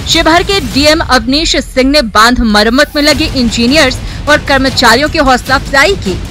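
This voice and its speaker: High-voiced woman reading news. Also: normal speed. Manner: formal, steady news read. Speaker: news anchor. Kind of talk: scripted news narration.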